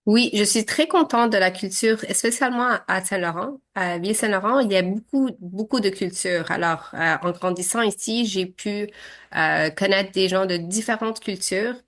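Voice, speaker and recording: A woman in her thirties, originally from Syria and raised with Arabic as her first language, answers interview questions. She speaks haltingly, filling gaps and restarting her sentences as she goes. Her pitch sits at an ordinary middle height. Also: a bit bright. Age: 30s. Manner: hesitant, many fillers and restarts.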